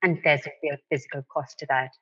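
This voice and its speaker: A woman giving considered, deliberate interview answers, building her points with emphatic repetition, on a muffled lo-fi line. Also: low-pitched, fairly even intonation, a bit bright, slightly rough, no room sound. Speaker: interview guest, human rights advocate.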